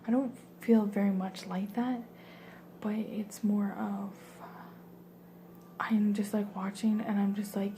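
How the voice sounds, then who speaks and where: A young woman tells a story in a soft, hushed whisper, wandering off track with plenty of "like" and "um". Her voice stays flat and mellow. A faint hiss sits behind her. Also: medium pitch, slow, long pauses. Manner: soft whisper, rambling with many fillers.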